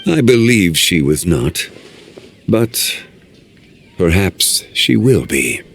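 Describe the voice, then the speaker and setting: A deep, gravelly ancient sage. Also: male voice, big pitch swings, a little hiss or hum, exaggerated voice. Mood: cryptic. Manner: measured, speaks in riddles. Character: ancient, composed male sage, calm and cryptic. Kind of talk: game voice acting.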